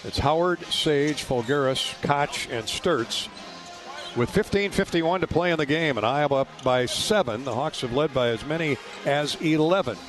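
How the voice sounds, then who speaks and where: A man's voice: a little high, normal speed, a bit bright, soft background music. American man calling live radio basketball. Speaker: radio play-by-play announcer. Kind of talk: live basketball play-by-play commentary. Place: basketball arena.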